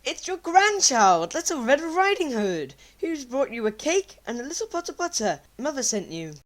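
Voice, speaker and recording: A woman's voice: on the high side, big pitch swings, normal speed. A woman voicing an innocent, trusting little girl in a storybook tale.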